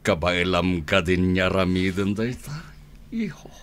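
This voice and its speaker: A man playing an older, ruthless crime boss father in a radio drama, with an Ilocano accent and a husky, deliberate delivery. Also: sits low. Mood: grave. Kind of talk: Filipino radio drama acting.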